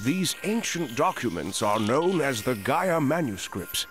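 An actor playing a kindly elderly professor in a game cutscene, speaking in a measured, scholarly, genteel way. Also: male voice, medium pitch, very animated. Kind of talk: expository animated game cutscene acting. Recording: music behind the voice.

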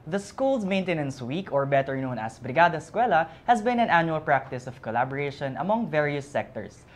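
A young man co-hosting a studio talk show, reading his links in a smooth presenter manner. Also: a little high, sing-song, normal speed. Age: young adult. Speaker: co-host. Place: small TV studio set. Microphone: lapel mic.

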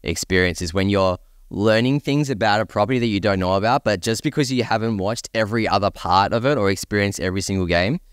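Smooth, low-voiced man chatting on a panel. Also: fast, hardly any pauses, dry recording, studio quality. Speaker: podcast panellist. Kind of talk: casual panel discussion.

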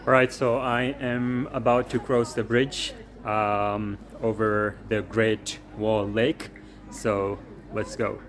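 A man vlogging outdoors at a historic site, chatting casually with many fillers and pauses as he films on a handheld camera. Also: lowish voice, flat delivery. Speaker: travel vlogger. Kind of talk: casual walking tour narration.

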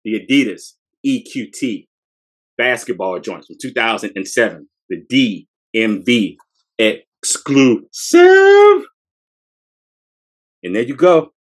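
A man's voice: mid-range voice, wildly swooping pitch, slow pace. Hyped-up man showing off sneakers. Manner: hype, slangy, repeats phrases. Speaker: sneaker podcast co-host. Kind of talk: casual sneaker showcase. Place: small room with shoe box shelves. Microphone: fixed camera at close range.

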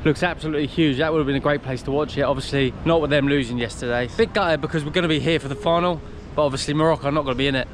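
Laid-back British man over loud traffic. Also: a little high, racing, hardly any pauses. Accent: British English. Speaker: travel vlogger. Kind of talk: casual vlog chat. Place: busy shopping mall and seafront outdoors. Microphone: handheld action camera at arm's length. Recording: loud street and traffic noise.

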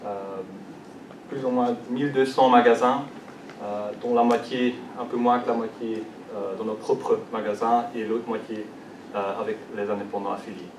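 A man's voice: fairly low pitch, fairly even intonation, normal speed, darkish tone, a little hiss or hum. A young man with a Belgian French accent, French not his first language, giving a conference presentation with many fillers and restarts. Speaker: conference speaker. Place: large conference hall. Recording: echoey hall, distant mic.

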